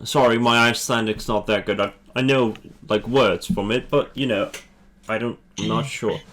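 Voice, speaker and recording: A man's voice: mid-range voice, fairly even intonation, normal speed, fairly bright tone, close to an everyday voice. A man voicing a stammering nervous tourist. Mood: flustered. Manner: stammering, hesitant. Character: flustered male tourist, nervous and apologetic.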